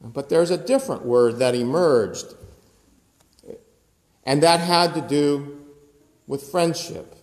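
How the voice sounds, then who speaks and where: A pastor in his fifties preaches slowly and chattily, with asides to his listeners. His voice sits a little high for a man. It rings out through a big, echoing church room. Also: animated. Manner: conversational preaching with gestures and asides. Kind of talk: sermon. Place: church sanctuary with high ceiling. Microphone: phone camera close up, looking upward. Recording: echoey church with long reverb.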